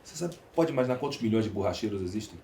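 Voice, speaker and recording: Low-voiced Brazilian man, echoey room. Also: expressive, very fast, mellow. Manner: emphatic, rhetorical, speaks in lists and questions. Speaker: podcast guest, entrepreneur. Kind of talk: conversational interview monologue. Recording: echoey room, faint background noise.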